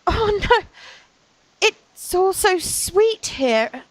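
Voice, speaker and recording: A woman's voice: very high-pitched, very animated, extremely slow, no room sound, full character voice, poor audio. A woman playing an innocent, fanciful young lady in a Victorian radio play, wistful and poetic. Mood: dreamy, wistful. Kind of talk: Victorian period radio acting.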